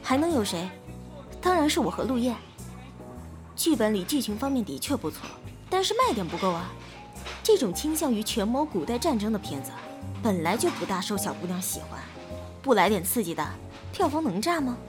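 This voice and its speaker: A woman's voice: on the high side, very animated, a little fast, music in the background. A woman playing a bold, self-assured actress in a Chinese audio drama. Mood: assertive, sly.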